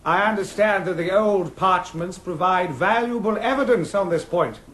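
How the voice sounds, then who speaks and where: Polite male coroner, high-pitched voice, measured, formal, hesitant, radio comedy. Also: a bit bright.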